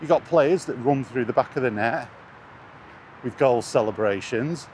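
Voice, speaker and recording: A man's voice: medium pitch, normal speed, darkish tone. A British man in a casual, sweary rant, speaking bluntly and scornfully as a gaming vlogger out on a walk. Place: outdoors, walking along near traffic. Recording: outdoor street and vehicle noise.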